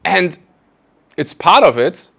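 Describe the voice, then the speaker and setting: A man with German as his first language hosts a relaxed podcast conversation. He tells personal stories in an animated, self-deprecating way and keeps the chat easygoing. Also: on the high side, slow pace, gravelly. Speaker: podcast host. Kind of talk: casual interview conversation.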